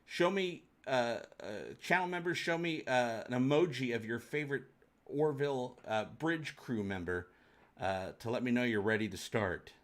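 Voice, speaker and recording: A man's voice: medium pitch, expressive, normal speed. A man hosting a fan livestream, chatting casually and enthusiastically with his viewers.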